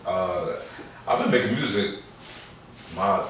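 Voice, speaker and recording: A man's voice: sits low, slow, a bit bright. An American man in his twenties from Chicago, chatting casually as an interview guest with slangy, laid-back, joking talk. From Chicago, United States. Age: 20s. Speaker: guest, rapper and producer. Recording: very echoey room, distant mic, clear noise.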